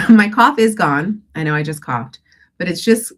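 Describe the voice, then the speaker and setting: A woman's voice: lowish voice, animated, measured pace. A woman coaching listeners on goals and breathing, slightly stuffy from a cold, rambling and self-correcting and often asking "right?". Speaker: declutter coach hosting a call-in show. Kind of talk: casual coaching talk with breathing guidance.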